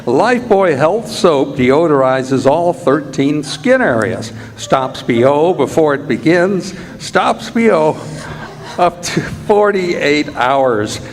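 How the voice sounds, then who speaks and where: In a long, reverberant hall, a man announces in old-time radio style. His voice sits a little high and he speaks very slowly. He delivers each line with a salesman's emphasis. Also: lively intonation. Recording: hall reverb, live stage show.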